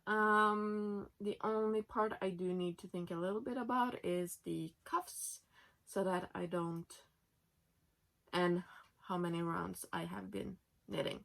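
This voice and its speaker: A woman's voice: medium pitch, fairly even intonation, slow pace, gravelly, a bit bright, poor audio. A young woman in her twenties from Norway, speaking English as a second language in a casual, chatty way with many fillers while sitting in her bed at home. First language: Norwegian. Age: 20s. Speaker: knitting podcast host. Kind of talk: casual chat.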